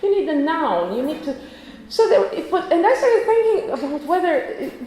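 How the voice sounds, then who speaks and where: A woman's voice: high voice, sing-song, normal speed, dark tone, slight background noise. Woman lecturing in a very echoey hall. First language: Croatian. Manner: chatty, self-correcting, with frequent restarts and small laughs. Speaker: conference lecturer. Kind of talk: academic conference talk.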